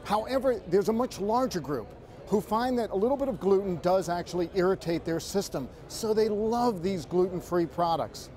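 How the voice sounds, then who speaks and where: A man's voice: high-pitched, animated, normal speed, a little mellow. A man reporting from a trade show floor, conversational and upbeat, turning to address the anchor by name as he walks through products. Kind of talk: walk-and-talk product report.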